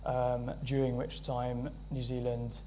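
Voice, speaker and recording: A man's voice: mid-range voice, monotone, slow, noisy background. A British man chairing a panel event, introducing speakers in a friendly, hesitant way with many fillers.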